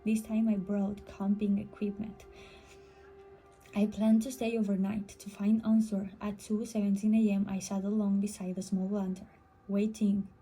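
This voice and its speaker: A woman's voice: mid-range voice, level tone, normal speed, warm tone, soft background music, low-quality recording. A woman reading a scripted first-person horror story as a storyteller.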